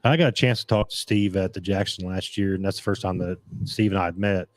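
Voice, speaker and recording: A man's voice: deep voice, steady tone, quick pace, no room sound. A man hosting a casual racing talk show, his voice gone a bit scratchy, rambling and restarting often as he reads out comments. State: voice getting scratchy. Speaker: podcast host. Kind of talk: casual chat about dirt track racing.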